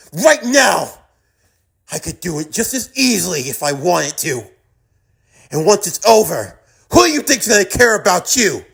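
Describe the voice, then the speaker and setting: A woman's voice: mid-range voice, creaky, husky, full character voice. A woman playing a hot-tempered young female outlaw gunslinger in an intense anime-style drama, brash, profane and threatening.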